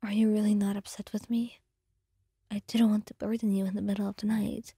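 Shy affectionate young woman in hushed tones, voiced by a woman; husky, dry and close. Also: mid-range voice, flat delivery, a little fast, dry recording. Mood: apologetic. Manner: soft whispered, hesitant.

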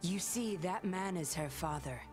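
A woman's voice: fairly low pitch, animated, a little slow. A woman voicing a sly, composed young woman who teases and stays in control, in a game with music behind. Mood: cool teasing. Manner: smooth, teasing, in control.

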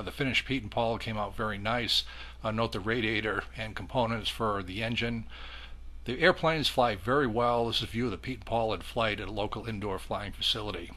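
A man narrating a product review as a hobbyist, explaining in a measured, matter-of-fact way. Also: lowish voice, bright, forceful tone. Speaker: model airplane hobbyist reviewer.

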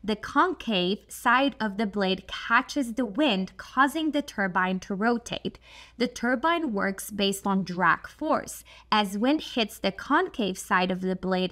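A woman, hosting an energy channel and talking to camera in a chatty, expressive way, seated at a desk in a dim studio. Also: mid-range voice. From Poland. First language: Polish. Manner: chatty, expressive, frequent asides and "like". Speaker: host of a solar energy channel. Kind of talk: explainer talk to camera. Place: dim studio with a shelf of glowing props.

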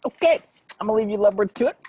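Woman reading as lively, sassy young male roommate. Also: medium pitch, very fast, close to an everyday voice. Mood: teasing. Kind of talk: amateur table reading of a film script. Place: remote video call. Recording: noisy call with clicks.

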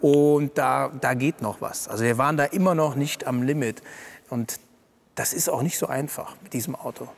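A man in his thirties, a racing driver, explaining his lap calmly in a seated interview with many fillers. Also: mid-range voice, normal speed, creaky. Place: garage by a race track, indoors. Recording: reverberant garage.

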